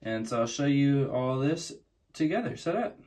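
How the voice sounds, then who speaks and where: A man's voice: medium pitch, animated, normal speed, darkish tone. A man hosting a casual product unboxing, explaining things plainly, step by step. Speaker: unboxing video host.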